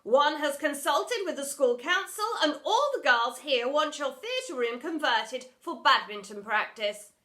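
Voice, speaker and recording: A woman's voice: sits high, animated, a little slow, few pauses, a bit bright, voice pushed up. A woman voicing an imperious, posh headmistress in a children's storybook, pompous, commanding and exasperated. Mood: firm, dismissive. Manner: pompous, commanding, refers to herself as "one".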